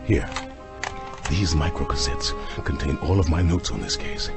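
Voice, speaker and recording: Grim driven vampire hunter, creaky voice that swings all over, radio play with music under it. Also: male voice, very deep voice, lively intonation, moderate pace, exaggerated voice. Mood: grave, commanding. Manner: intense, urgent, dramatic. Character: obsessed vampire hunter doctor, grim, driven, persuasive man. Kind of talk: radio horror-comedy acting.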